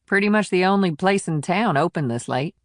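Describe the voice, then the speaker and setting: Small-town policewoman for an audiobook male reader acting her: raised pitch, wry, plain-spoken, clipped and folksy. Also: lively intonation, a little fast, no room sound, studio quality. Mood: matter-of-fact, jaded. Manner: clipped sentences, folksy and matter-of-fact. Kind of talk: audiobook character dialogue.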